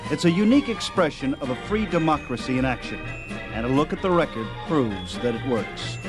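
Animated American radio host over loud music. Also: male voice, medium pitch, fairly quick. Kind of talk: scripted radio sign-off narration.

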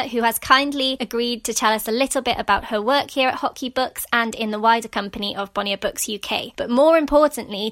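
High-voiced woman host, dry sound. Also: fairly bright tone, no echo. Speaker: podcast host. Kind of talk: scripted introduction.

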